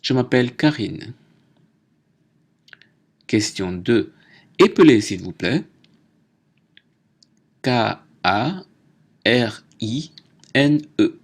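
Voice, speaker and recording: Slow, lively-pitched male French teacher. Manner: slow, deliberate dictation. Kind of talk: slow lesson narration.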